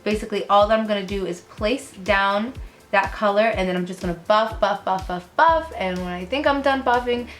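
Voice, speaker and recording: Chatty young woman, sick, rough voice. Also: mid-range voice, normal speed, soft background music. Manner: chatty, rambling, fillers, trails off while working. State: sick, voice lower and rougher than usual. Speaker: beauty vlogger. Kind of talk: casual makeup tutorial chat. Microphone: camera close up in front of face.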